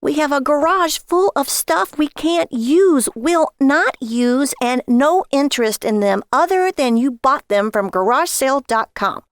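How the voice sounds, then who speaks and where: A woman's voice: high voice, lively intonation, measured pace, few pauses, no room sound, clean studio sound, pitched above the performer's own voice. A woman voicing an exasperated, nagging wife in a story, sarcastic and scolding toward her husband. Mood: weary warning.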